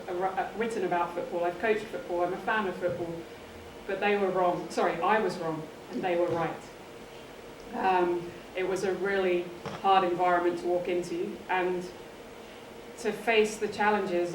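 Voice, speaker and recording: Southern english woman being interviewed, earnest and flat intonation, bit far from the mic, faint noise. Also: lowish voice. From England. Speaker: interview guest, sports author. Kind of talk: interview answers, personal storytelling. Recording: roomy echo, distant mic, faint noise.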